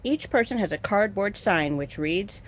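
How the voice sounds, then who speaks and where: A woman's voice: medium pitch, lively intonation, moderate pace, a little hiss or hum. A woman reading scripted stage directions as narrator of a play reading. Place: video call.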